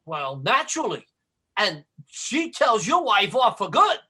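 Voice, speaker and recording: An actor playing a sly, wheedling, ambitious factory worker in an old-time radio sitcom, with wisecracking, folksy speech. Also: male voice, high-pitched, big pitch swings, unhurried, pushed and bright, husky, exaggerated voice. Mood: wary, sly.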